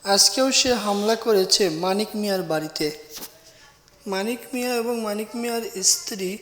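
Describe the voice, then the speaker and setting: A man's voice: sits high, moderate pace, fairly bright tone, echoey room, long reverb tail, a little hiss or hum, poor audio. A man narrating a scripted cartoon story.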